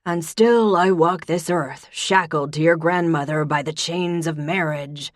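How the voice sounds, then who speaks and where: A woman's voice: low-pitched, no echo. Teasing old grandpa, stubborn and sly, played by a woman narrator, in a dry, close read. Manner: defiant, impish, drawn-out words. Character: elderly grandfather, teasing and contrary.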